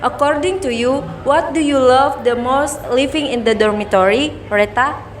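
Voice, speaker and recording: A woman's voice: high voice, moderate pace, a little hiss or hum. A young woman hosting a student talk show, reading her lines in a plain scripted way.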